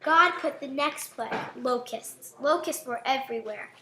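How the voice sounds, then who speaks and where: A woman narrating a puppet show in simple, improvised storytelling, pausing between phrases. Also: high-pitched, reverberant, noisy background.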